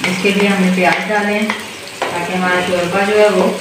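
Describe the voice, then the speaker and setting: A middle-aged woman hosting her own cooking channel, calmly talking through each step at home while she cooks. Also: lowish voice, moderate pace. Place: home kitchen, cooking at the stove. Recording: echoey, distant, noisy home recording. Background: frying and sizzling from the pan.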